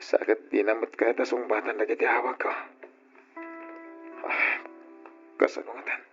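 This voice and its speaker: A man's voice: medium pitch, little pitch movement, moderate pace, fairly bright tone, lightly acted. A man playing a lovesick, worried young nephew working abroad in an old radio drama, with a plaintive Filipino accent. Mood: despondent. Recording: dull old radio recording, music behind.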